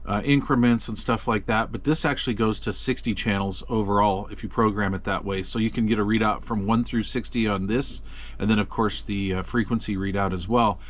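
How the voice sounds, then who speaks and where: A man's voice: lowish voice, a little fast. Laid-back man walking through a radio. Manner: relaxed, chatty, frequent ums and uhs. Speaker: radio reviewer. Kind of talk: casual product walkthrough.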